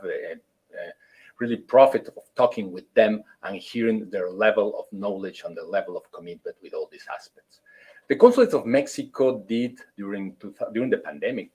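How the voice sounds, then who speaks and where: Low husky guy opening a webinar on a call, lots of uhs and restarts, formal. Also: darkish tone. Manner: halting, many fillers and restarts, formal phrasing. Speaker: consulate official opening a webinar.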